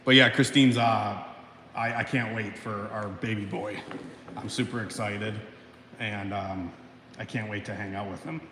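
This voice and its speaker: A man's voice: fairly low pitch, steady tone, a little slow, pushed and bright. A man in his thirties hosting a casual livestream from his home garage. He reads out chat comments, rambles, swears often and laughs between sentences. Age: 30s. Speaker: livestream host reading chat. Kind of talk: casual live-stream chat. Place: home garage, alone by a car lift. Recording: echoey garage with faint background noise.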